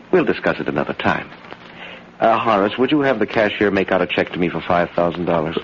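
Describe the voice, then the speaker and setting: Smug american blackmailer guy, jolly and pleased with himself, lively swingy voice, muffled hissy old radio play. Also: low voice, moderate pace. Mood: breezy, demanding. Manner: breezy, self-satisfied, chuckling. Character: smug, jovial male blackmailing impostor, greedy and breezy. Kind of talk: old-time American radio thriller acting. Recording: noisy, muffled vintage radio broadcast.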